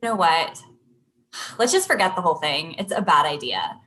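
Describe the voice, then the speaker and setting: A woman's voice: sits low, very animated, normal speed, fairly bright tone. A woman playing a plain-spoken thirty-year-old professional with a questioning, conversational manner in a comedy table read. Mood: friendly, casual.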